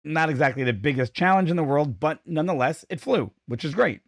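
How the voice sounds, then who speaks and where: A man's voice: fairly high pitch, expressive, a little fast, gravelly, no room sound, studio quality. A man co-hosting a casual show who is losing his voice, chatty, opinionated and self-deprecating. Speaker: podcast co-host. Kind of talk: casual chat.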